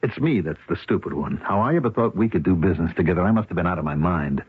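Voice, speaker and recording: Husky, hard-boiled con artist. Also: male voice, sits low, expressive, quick pace, exaggerated voice. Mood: bitter, scornful. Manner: curt, scolding, street-tough slang. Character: hard-boiled male con artist, short-tempered, bossy and scornful. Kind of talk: old-time radio mystery acting. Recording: muffled, echoey vintage radio recording.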